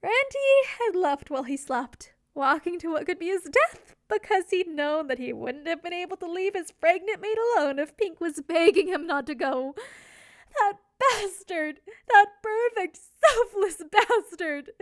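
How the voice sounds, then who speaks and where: A woman narrating a scripted romantic story in an expressive, theatrical storytelling manner. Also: very high voice, unhurried, no room sound, studio quality. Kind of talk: scripted dramatic fan fiction narration.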